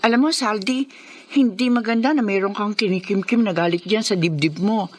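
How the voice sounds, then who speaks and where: A woman playing a caring fiancée in a radio drama, concerned and gently advising. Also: medium pitch, normal speed, lightly acted. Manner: concerned, counselling.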